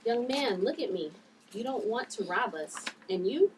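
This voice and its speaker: A woman playing a calm, commanding young heroine with a firm, admonishing manner at a script read. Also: fairly high pitch, moderate pace, lightly acted. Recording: distant mic with clear background noise.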